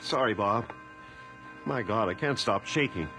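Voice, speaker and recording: An actor playing an excitable, shaken small-town mayor in a radio drama, flustered and urgent, with music behind. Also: male voice, fairly low pitch, measured pace. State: shaken, agitated.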